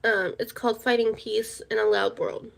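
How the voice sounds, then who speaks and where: A woman's voice: a little high, little pitch movement, moderate pace, vocal fry. A woman hosting a casual livestream, chatting in a rambling way and repeating her words. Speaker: livestream host.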